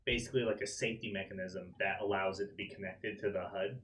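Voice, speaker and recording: A man chats as a player at a tabletop game. His voice is on the low side and his phrasing hesitates as he explains. The recording is noisy. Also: steady tone, fast talker, a bit bright.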